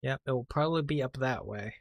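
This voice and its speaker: A man giving casual, low-key gameplay commentary in brief reactions, close to the mic. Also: fast, creaky, dry recording. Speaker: gaming streamer.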